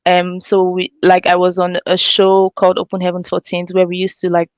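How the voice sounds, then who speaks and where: Smooth-voiced young woman. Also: on the low side, completely flat delivery, a little fast, no room sound. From Cameroon. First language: French. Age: young adult. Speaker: interview guest, university alumna. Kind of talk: casual conversational storytelling.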